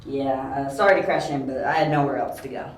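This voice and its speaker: A man's voice: mid-range voice, fairly even intonation, fairly quick, distant mic, natural voice. A man acting a resourceful, wry young detective in an amateur audio drama, speaking tersely. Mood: pleading, uneasy. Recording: echoey room, off-mic, faint noise.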